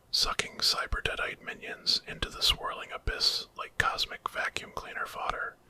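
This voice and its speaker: A man tells a horror story in a steady ASMR whisper. The voice has no real tone to it, only breath, with a very bright, hissing edge. The delivery is that of a scripted narrator.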